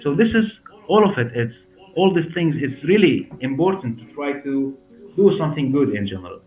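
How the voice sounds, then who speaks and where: A man in his forties with a Syrian Arabic accent, answering interview questions in halting, repetitive non-native English. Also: on the high side, big pitch swings. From Damascus, Syria. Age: 40s. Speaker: hair clinic director and interview guest. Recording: roomy, muffled, narrow sound with music behind.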